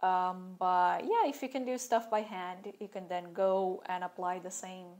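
Slow-talking wry woman vlogger. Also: lowish voice, steady tone, darkish tone. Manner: candid and wry, with sarcastic asides. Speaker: vlogger sharing her fashion school experience. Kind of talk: casual talking-head vlog. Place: small plain room indoors, seated at a desk.

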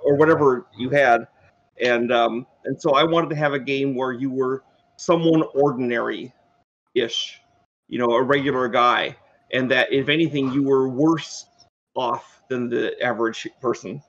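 A man's voice: mid-range voice, fairly even intonation, unhurried, fairly bright tone, highs cut off. A man in his sixties, a game designer explaining his thinking in a panel discussion over a video call. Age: 60s. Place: book-lined room, video call.

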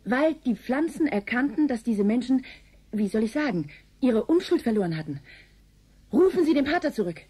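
A woman's voice: fairly high pitch, very animated, normal speed, dark tone, breathy edge. An actress playing a grave, alarmed woman researcher in a radio drama, speaking with brooding, insistent urgency. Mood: urgent insistence. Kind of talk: 1980s German radio drama acting.

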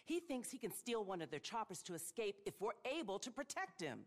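A woman's voice: mid-range voice, very animated, fairly quick. A woman acting a stern, blunt, exasperated middle-aged government boss in a video game. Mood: brisk, firm. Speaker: government official.

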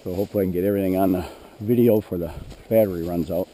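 A man with a hoarse voice, narrating plainly as he trains a dog in an open field, recorded on a head-mounted action camera. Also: low-pitched, steady tone, rapid-fire, warm tone, slight background noise. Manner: plain, matter-of-fact, clears throat often. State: hoarse, losing his voice. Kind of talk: narrating a hunt while commanding a dog. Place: open grassy field outdoors.

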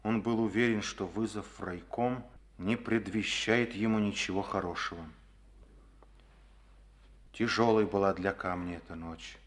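A man narrating a Soviet radio play in a measured, solemn manner. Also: lowish voice, steady tone.

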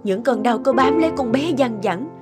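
A caring cartoon mother, acted by a woman, worried over her child. Her voice is high. Loud music plays behind her. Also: expressive, moderate pace, lightly acted. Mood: worried, soothing. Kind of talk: cartoon dubbing.

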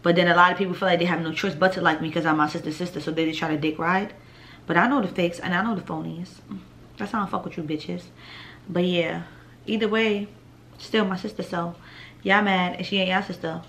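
A woman's voice: steady tone, a little fast, a bit bright, a little hiss or hum. Blunt, foul-mouthed young woman vlogger. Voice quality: deep voice. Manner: blunt, profane, laid-back with laughs. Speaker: vlogger answering viewer questions. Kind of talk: casual Q&A vlog. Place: living room at home, indoors.

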